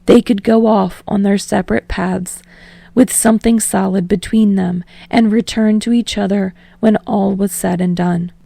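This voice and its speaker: Mellow woman narrator with vocal fry. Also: medium pitch, steady tone, moderate pace, no room sound. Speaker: podfic reader. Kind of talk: scripted narration of fan fiction.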